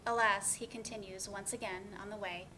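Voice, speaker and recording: A woman's voice: mid-range voice, steady tone, brisk, heavy background noise, lightly acted. A woman playing a wry, sarcastic young woman with a dry, natural delivery in a play reading. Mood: reflective.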